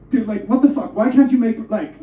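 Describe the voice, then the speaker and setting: A man's voice: very high-pitched, reverberant, recorded from a distance, highs cut off. A man from Germany performing a stand-up comedy set, talking with energy and swearing as he mocks himself. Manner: energetic, swearing, self-mocking. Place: comedy club stage.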